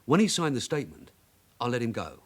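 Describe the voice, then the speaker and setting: A seasoned police sergeant in a radio crime drama. He talks quickly with an animated, shifting pitch, persuasive and wily, always keeping control. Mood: urgent, determined. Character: shrewd veteran London detective sergeant, wily and insistent.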